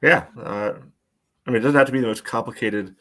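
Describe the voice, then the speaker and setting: A man's voice: mid-range voice, brisk, fairly bright tone. A man co-hosting a podcast and analysing soccer in casual chat, in hesitant, choppy phrases peppered with "you know".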